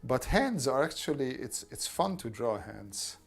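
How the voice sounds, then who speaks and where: A man's voice: medium pitch, very animated, a little slow, creaky, faint music behind. A man hosting a casual art livestream, chatting with viewers and talking them through drawing steps. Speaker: artist streamer answering chat.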